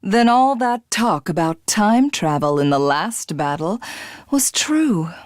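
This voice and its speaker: A woman's voice: medium pitch, very animated, slow, no room sound, clean studio sound. A woman voicing a megalomaniac alien warrior from a video game, haughty and theatrical, who devours rivals to become a god. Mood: smug, menacing. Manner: haughty, grandiose, theatrical, self-satisfied. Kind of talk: video game character voice acting.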